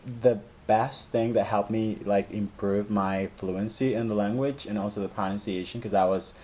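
A man's voice: on the low side, fairly even intonation, normal speed, mellow. Hesitant man, lots of "right?". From El Salvador. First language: Spanish. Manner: hesitant, restarts often, leans on "like" and "right?". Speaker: guest, English teacher. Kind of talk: casual interview chat.

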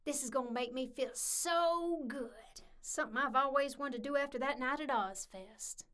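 A woman's voice: high-pitched, normal speed, noisy background, natural voice. A woman playing a hot-headed, vengeful young woman in an audio drama. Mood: menacing.

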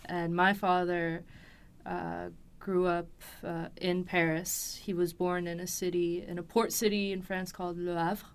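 A woman in a fairly low, very even voice. She tells a personal story as an interview guest, casually and with some hesitation, finding her words as she goes. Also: measured pace, clear, resonant tone. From Los Angeles, USA. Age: 20s. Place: recording studio.

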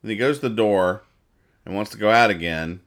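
Low-voiced man rambling through comic strips. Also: a bit bright. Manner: rambling, halting, mock-explaining jokes. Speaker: podcast host reading newspaper comics. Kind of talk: casual comedic commentary, describing comic strips aloud.

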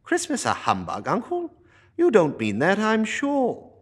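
Man voicing a cheerful young nephew, pitched well above his own voice, earnest and pleading. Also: wildly swooping pitch, measured pace, slightly rough, full character voice. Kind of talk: storybook character reading.

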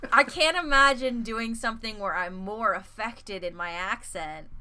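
A young American woman hosts a podcast in a loose, chatty way, full of "like" and "you know." Her voice is bright and a touch high. She sounds bubbly and animated. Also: moderate pace, clean tone. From United States. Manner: bubbly, chatty, lots of fillers. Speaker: podcast host. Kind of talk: casual chat.

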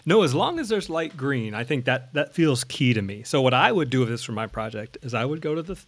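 A man hosting a casual conversation, speaking in a relaxed, easygoing and fluent way. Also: medium pitch, fairly quick, a little hiss or hum.

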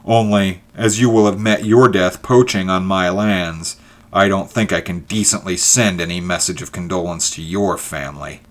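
A man's voice: low-pitched, fairly even intonation, moderate pace, close to an everyday voice. A man voicing a proud, indignant landowner who retorts fiercely to his enemy in a storybook reading. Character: proud, fierce landowner pinned down, defying a poacher.

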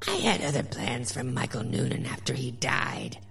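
A woman's voice: extremely low pitch, very animated, moderate pace, creaky, a bit bright, faint music behind, heavily acted. A woman playing a vengeful witch who narrates her revenge with dramatic menace in a horror radio play. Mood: gloating, ominous.

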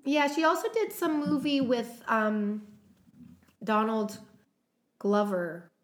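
Slow, unhurried woman podcast host. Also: a little high. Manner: unhurried, many "um" fillers, running through notes. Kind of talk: casual chat about a film's cast.

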